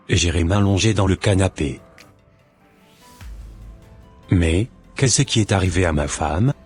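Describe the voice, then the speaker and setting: A man playing a suspicious, impatient husband in an animated drama, speaking in a deliberate, formal way with a husky voice. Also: deep voice, full character voice. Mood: puzzled, worried. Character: suspicious, impatient husband waiting for his unfaithful wife.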